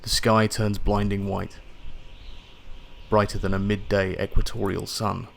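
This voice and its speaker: Low-pitched man narrating dramatic sci-fi horror. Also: level tone, measured pace, creaky, a little hiss or hum. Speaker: audiobook narrator. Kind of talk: scripted first-person sci-fi horror narration. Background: atmospheric music and sound effects.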